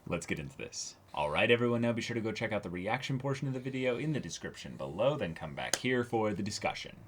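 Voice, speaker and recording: A man's voice: fairly low pitch, very animated, fast, background noise. Casual man co-hosting a discussion. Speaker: co-host of an anime reaction show. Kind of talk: casual chat, episode discussion.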